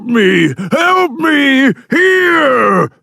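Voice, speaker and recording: A man voicing a wounded, desperate lion in a children's readers theatre, with loud, drawn-out, pleading cries. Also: extremely high pitch, big pitch swings, vocal fry, dry recording, clean studio sound, voice pushed up, full character voice. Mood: pained, pleading.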